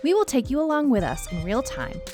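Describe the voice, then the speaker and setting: A woman's voice: high-pitched, very animated, brisk, music in the background. A woman co-hosting a podcast, presenting in a prepared but conversational way. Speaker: podcast co-host.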